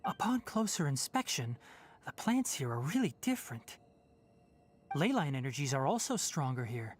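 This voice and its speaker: A man voicing a young, practical, earnest forest ranger in a video game, instructing in a measured, matter-of-fact way. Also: a little high, sing-song, slight background noise. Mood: serious.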